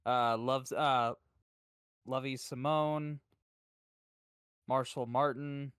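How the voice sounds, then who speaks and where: A man co-hosting a podcast in casual chat, hesitant and thinking out loud as he goes. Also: medium pitch, fairly even intonation, very slow, smooth, no echo, clean studio sound. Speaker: podcast co-host.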